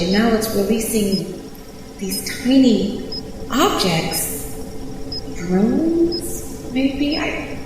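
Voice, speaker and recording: Heavy echo and long reverb surround an actress as a stranded female space reporter, heard from off the mic over a noisy ambience. She speaks slowly and haltingly, proud yet frightened, in the manner of a sci-fi radio play. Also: fairly high pitch, recorded from a distance. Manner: halting, breathy delivery with broken, repeated phrases. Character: stranded female news reporter, proud but terrified, facing death. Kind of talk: audio drama monologue, sci-fi radio play. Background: clear ambient noise.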